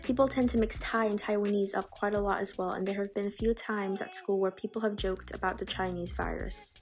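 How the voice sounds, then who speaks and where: A woman reading out submitted personal stories in a calm, measured way. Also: mid-range voice. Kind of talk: scripted reading. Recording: dull lo-fi audio with faint music.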